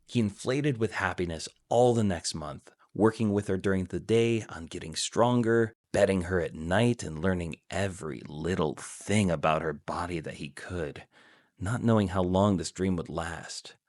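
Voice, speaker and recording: Low-voiced man narrating a story. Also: moderate pace, darkish tone, no room sound. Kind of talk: scripted fan fiction narration.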